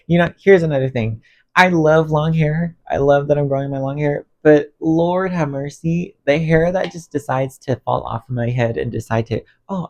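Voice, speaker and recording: Stuffy guy venting to his phone in his room, gravelly fry, rambly and all over the place. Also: medium pitch, dull audio. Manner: rambling, emotional, self-talk, expressive swings. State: congested, losing voice. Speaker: vlogger. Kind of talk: candid rant to camera while cleaning. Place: bedroom. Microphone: phone on stand under ring light.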